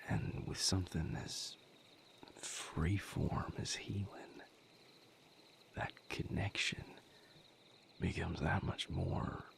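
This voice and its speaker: A man playing a gentle, patient vampire healer in an intimate audio roleplay, speaking softly and reassuringly in breathy vocal fry with long pauses. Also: sits low, slight background noise, full character voice. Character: gentle, patient male vampire healer mentoring his mate.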